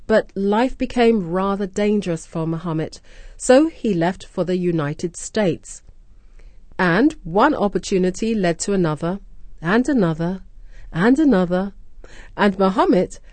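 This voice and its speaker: A woman radio presenter hosting a scripted language-learning programme, explaining words and phrases clearly and deliberately. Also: medium pitch, expressive, a little mellow, no echo. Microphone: close studio mic.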